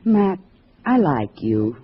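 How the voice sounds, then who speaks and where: Low-voiced, seductive female communist lure. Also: big pitch swings, slow, husky, full character voice. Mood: coaxing, flirtatious. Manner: gushing, coquettish. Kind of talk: 1950s radio drama acting. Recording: muffled vintage radio recording, faint hiss.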